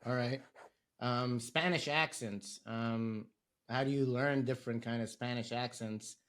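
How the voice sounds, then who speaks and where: A man hosts a podcast interview, putting his questions in a relaxed, easygoing way. His voice sits at an ordinary middle pitch, and he answers the guest with quick laughs and short agreements. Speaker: podcast host.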